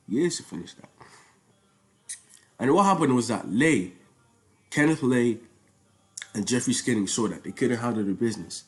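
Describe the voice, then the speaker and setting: Young male, Nigerian accent, informal student presenter, conversational and slangy, leans on repetition for emphasis. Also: medium pitch. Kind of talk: informal spoken project presentation.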